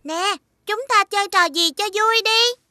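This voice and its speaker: Casting: a tiny cartoon child, extremely high and bright, emphatic, pleading delivery. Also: female voice, measured pace, no echo, clean studio sound, exaggerated voice. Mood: playful. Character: small, squeaky child playmate in a fairy tale. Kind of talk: animated fairy tale voice acting.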